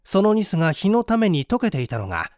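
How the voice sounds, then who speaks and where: A man's voice: high voice, wildly swooping pitch, fast, darkish tone, dry recording, studio quality. A man reading a mystery story as an audiobook narrator, theatrical and suspenseful in his delivery. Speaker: audiobook reader.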